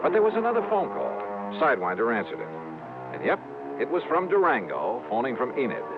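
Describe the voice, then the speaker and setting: An actor playing a wry, cool insurance investigator in a 1950s radio noir drama. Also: male voice, medium pitch, huge pitch swings, brisk. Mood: wistful, breezy. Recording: muffled old radio broadcast with music.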